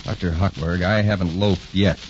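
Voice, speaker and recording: An American actor playing an earnest, intense young doctor torn between love and career, in 1940s radio drama style. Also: male voice, low voice, fairly even intonation, normal speed, natural voice. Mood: earnest, defensive. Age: young adult. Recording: dull, noisy 1940s radio recording.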